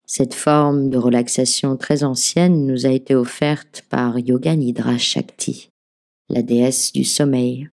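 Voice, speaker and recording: A woman leading a guided relaxation as a meditation teacher, giving gentle, soothing, measured instructions. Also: rumbling low. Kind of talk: guided yoga nidra relaxation narration.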